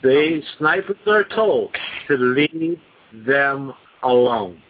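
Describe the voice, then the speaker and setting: A man calling in to a radio show, talking slowly and emphatically. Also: mid-range voice, animated, slight background noise. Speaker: caller. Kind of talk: call-in radio talk. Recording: tinny phone line.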